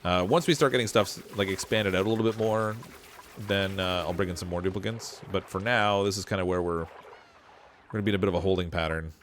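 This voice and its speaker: A man streaming a game live, thinking aloud and answering chat, his voice still lower than usual from a cold, with frequent laughs. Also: fast talker, fairly bright tone, slight background noise. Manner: chatty, laughs often, thinks aloud with restarts. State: voice lower from getting over a cold. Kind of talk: live gameplay commentary.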